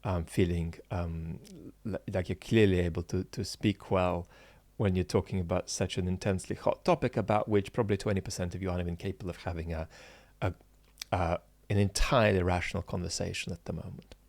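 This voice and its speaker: A man in his forties, a political philosopher hosting his channel, talking unscripted to viewers in a halting, searching way with many fillers and restarts, earnestly stressing key points. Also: low voice, fairly even intonation, gravelly, a little mellow, no room sound. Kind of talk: unscripted solo talk to viewers.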